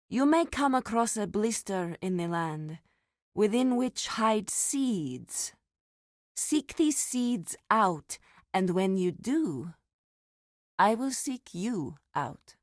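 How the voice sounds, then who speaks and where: A grave, formal woman druid of a sacred grove. She speaks slowly and with solemn weight. The recording is very dry and close. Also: mid-range voice, dry recording. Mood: earnest, measured. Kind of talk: scripted game dialogue.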